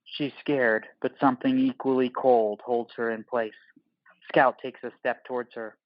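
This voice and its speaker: A man's voice: mid-range voice, little pitch movement, measured pace, no room sound, muffled recording. Dry, clipped male narrator. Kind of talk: scripted narration of screenplay directions.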